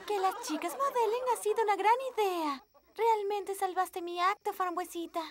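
A woman's voice: extremely high pitch, normal speed. A woman playing a sweet, encouraging little girl in a children's cartoon, gushing and supportive.

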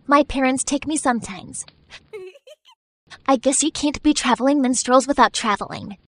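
A kind young woman with a high, light voice, as a male narrator puts her on. She speaks earnestly and encouragingly, sounding gentle and sincere. The storybook delivery is clean and close. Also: moderate pace. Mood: curious.

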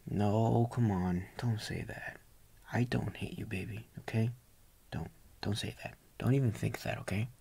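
A young man playing a tender, doting boyfriend in a soft ASMR roleplay, gentle, coaxing and reassuring, with a breathy voice often near a whisper. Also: lowish voice, unhurried, heavily acted. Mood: tender, soothing.

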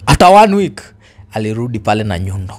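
Young man, Kenyan accent, rapid pace, casual storyteller, rhetorical questions, repeats key points. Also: fairly low pitch, big pitch swings. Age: 20s. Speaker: storyteller guest. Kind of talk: casual storytelling, code-switching chat. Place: indoors on a living-room sofa. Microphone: handheld microphone.

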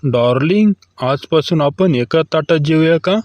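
A man's voice: fairly high pitch, moderate pace, a little mellow, dry recording, lightly acted. A man playing a droll, bantering husband in a cartoon comedy skit. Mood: dry, teasing.

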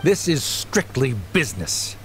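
A man's voice: mid-range voice, big pitch swings, measured pace, music in the background. A man voicing a stern, haughty scholar official in an anime game, cold, clipped and condescending. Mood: cold, dismissive.

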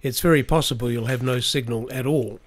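A middle-aged man giving a calm, explanatory voice-over about planning a trip. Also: mid-range voice. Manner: measured, explanatory. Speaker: vlogger. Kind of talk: scripted voice-over narration.

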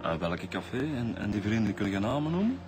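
An actor playing a steady, methodical police detective in a radio drama, matter-of-fact, with a Flemish accent. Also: male voice, lowish voice, a little fast, faint music behind. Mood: calm questioning.

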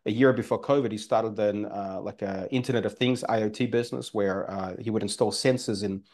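Guest: fortyish man, Australian accent, lowish voice, halting and filler-heavy, webcam from home. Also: steady tone, darkish tone. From former Soviet Union, raised in Australia. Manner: rambling with many fillers and restarts. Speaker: guest entrepreneur. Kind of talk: casual interview talk. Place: home room by a fireplace. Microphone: video call webcam.

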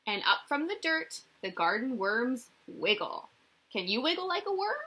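A young woman reads a children's picture book aloud as a teacher would. Her voice swoops expressively in a sing-song way, with a bright, forward tone and a slightly breathy, husky edge. She speaks directly to young listeners, inviting them to answer. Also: fairly high pitch, big pitch swings, moderate pace, poor audio. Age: young adult. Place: small indoor room.

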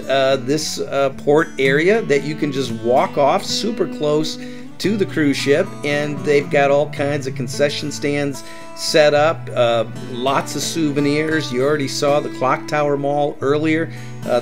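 Upbeat middle-aged man hosting travel tips. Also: fairly high pitch. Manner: upbeat, repeats "that's right". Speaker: travel vlog host. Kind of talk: enthusiastic travel guide narration.

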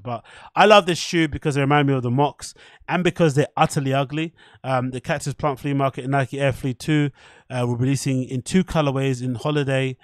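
A man's voice: medium pitch, dry recording, studio quality. A man hosting a livestream, giving casual, opinionated commentary with slang and swearing. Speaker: host of a livestream show. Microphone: close desk microphone.

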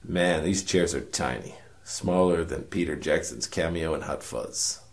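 An actor playing a cynical, world-weary detective, narrating a noir parody radio drama in a wry, self-important deadpan. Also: male voice, a bass voice, a little slow, creaky, exaggerated voice. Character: cynical world-weary hard-boiled male detective narrating his case.

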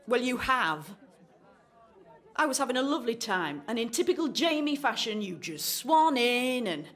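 A high-voiced, sarcastic woman in her thirties. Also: sing-song, normal speed, a bit bright. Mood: defensive, haughty. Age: 30s. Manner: sarcastic, dramatic, emphatic. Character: jaded, anxious 35-year-old single woman unravelling at speed dating. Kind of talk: radio play acting.

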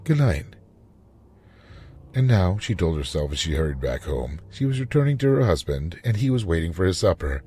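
Male narrator, low-pitched, mellow tone, expressive short story reading, dramatic delivery. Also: a little fast, few pauses, husky.

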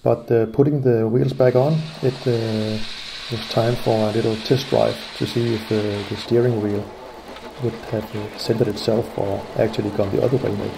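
A man calmly explaining his classic car steering repair step by step, pausing with frequent "uh"s. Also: lowish voice, creaky, background noise. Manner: calm, methodical, frequent "uh" pauses. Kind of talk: narrated garage repair vlog.

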